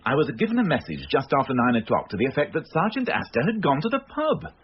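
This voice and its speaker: An actor playing a fussy, tetchy middle-aged British police inspector who grumbles in a radio drama. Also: male voice, on the high side, huge pitch swings, quick pace. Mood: grumbling. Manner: grumbling, exacting. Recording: dull, faintly noisy radio recording.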